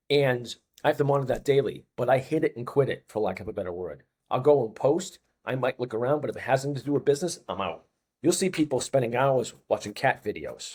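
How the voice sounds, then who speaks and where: A man's voice: mid-range voice, darkish tone, husky. A man in his fifties with a Boston accent, a fitness coach talking as a podcast guest, stressing his points by repeating phrases. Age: 50s. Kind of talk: casual podcast chat.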